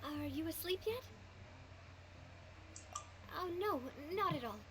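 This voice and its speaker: A woman's voice: extremely high pitch, lively intonation, takes it slowly, noisy background, full character voice. A woman playing a spirited, confident young female leader in an anime video game, teasing and upbeat. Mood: cheerful, eager.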